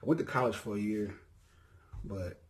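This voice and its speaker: A young man from Mississippi speaks with a relaxed Southern accent, in a low-pitched voice. He talks loosely to his viewers and drifts between thoughts. He fills pauses with ums and often corrects himself. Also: slight background noise. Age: 20s. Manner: laid-back, rambling, frequent ums and self-corrections. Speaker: YouTuber. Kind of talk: casual self-introduction vlog.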